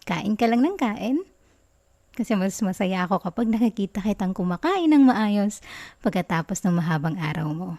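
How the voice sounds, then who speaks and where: A doting young Filipino girlfriend acted by a woman in an ASMR roleplay. Her voice is soft and mellow, sitting at a medium pitch. She talks tenderly and coyly, bashful and giggly with affection. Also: lively intonation, normal speed, natural voice. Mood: happy, caring.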